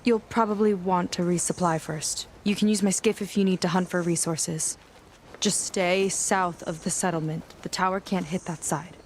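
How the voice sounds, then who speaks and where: A woman's voice: lowish voice, steady tone, moderate pace, lightly acted. A woman acting a young female seafarer, earnest, guarded, probing, video game drama, faint ambient noise behind. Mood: subdued, practical.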